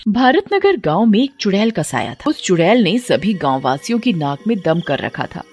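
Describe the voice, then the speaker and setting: A woman's voice: mid-range voice, sing-song, moderate pace, darkish tone. A woman giving scripted narration of a story as its narrator.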